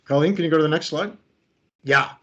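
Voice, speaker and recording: A man's voice: fairly high pitch, a little fast, fairly bright tone. A middle-aged American man leading a webinar as a creativity coach, talking through slides in a loose, joking, conversational way. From United States. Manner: conversational, lightly joking about himself. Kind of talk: online presentation walking through slides. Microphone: video call. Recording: slightly muffled video call audio.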